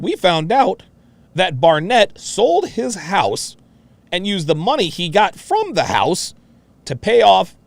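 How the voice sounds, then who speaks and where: Male commentary host, brash and foul-mouthed, higher-pitched voice, very expressive, mocking delivery. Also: creaky, no echo. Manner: brash, mocking, profane, repetitive. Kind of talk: reaction commentary on a played clip.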